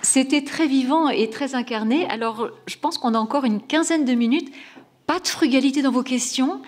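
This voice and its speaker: A woman moderating a conference panel, inviting the audience to ask questions in a lively, playful way. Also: high voice, moderate pace, a little hiss or hum.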